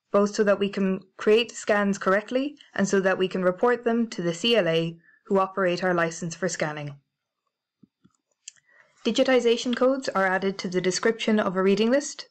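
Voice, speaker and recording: A woman with a British accent narrating a library software tutorial, clear and methodical, spelling out codes letter by letter. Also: medium pitch. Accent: British English. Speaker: library staff trainer. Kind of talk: instructional screencast narration.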